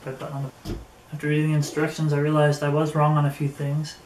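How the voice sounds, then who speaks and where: A man giving a casual, unscripted product demonstration, thinking aloud and haltingly as he handles things. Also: a little high, completely flat delivery. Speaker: product reviewer. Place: indoor room. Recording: echoey room, faint background noise.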